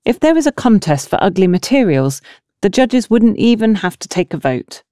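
Woman narrating a novel, lively and mellow. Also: mid-range voice, normal speed, no room sound, clean studio sound. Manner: expressive storytelling with acted dialogue. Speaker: audiobook narrator.

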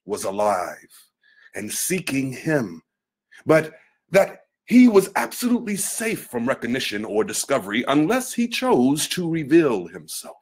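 A man giving a dramatic literary reading in a theatrical, deliberate manner with weighty pauses. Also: mid-range voice, huge pitch swings, breathy edge.

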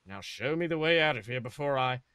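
A man's voice: medium pitch, expressive, fairly quick, bright, forceful tone, clear, resonant tone, dry recording. A man voicing a roguish pirate captain who is polite but wary, hesitant and proud, in a comedic fan fiction reading. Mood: indignant. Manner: hesitant, placating, proud when provoked.

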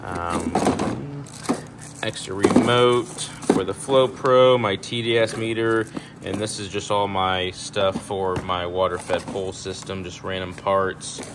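Slow, low-voiced man touring his trailer. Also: background noise. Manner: relaxed, rambling, many ums and restarts. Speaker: pressure washing business owner. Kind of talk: casual walkthrough tour. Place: indoor metal trailer, shop garage. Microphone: handheld phone camera.

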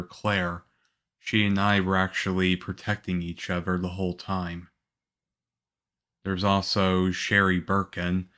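A man playing a calm, reassuring young government agent in an ASMR roleplay, speaking softly. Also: low voice. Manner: soft-spoken, unhurried, reassuring.

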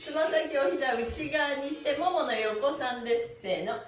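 A woman's voice: high voice, normal speed. A middle-aged woman teaching an exercise lesson in a cheery, encouraging, sing-song manner. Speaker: stretching instructor. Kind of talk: online exercise lesson, counting along with chanted phrases. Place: small indoor room with bare wall. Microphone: camera mic from a few meters. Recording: roomy echo, distant microphone, music behind.

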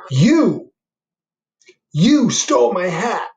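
Man voicing a slow, polite storybook bear. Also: high-pitched, sing-song, vocal fry, a little mellow, a little hiss or hum, voice pushed up, heavily acted. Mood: outraged. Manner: slow, deliberate, courteous. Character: polite, plodding male bear searching for his hat.

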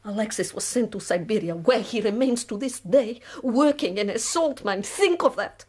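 An actress playing a proud, weary middle-aged revolutionary woman in a radio drama, speaking slowly and deliberately. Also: on the high side, animated, darkish tone, husky. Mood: bitter, grave. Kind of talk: BBC radio drama acting.

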